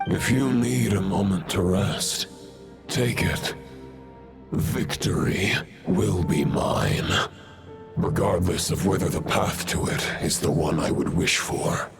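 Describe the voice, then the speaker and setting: An actor playing a proud, grave villain knight who speaks in a formal, pompous way, with a gravelly voice. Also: male voice, deep voice, slow pace, over music, exaggerated voice. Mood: menacing. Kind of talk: game cutscene voice acting.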